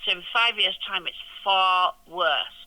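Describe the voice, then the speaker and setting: An older woman, an actress and interview guest, who talks in a loud, effusive and exclaiming way. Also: mid-range voice, unhurried, poor audio. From England. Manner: effusive and gushing, exclaims often. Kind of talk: casual interview chat.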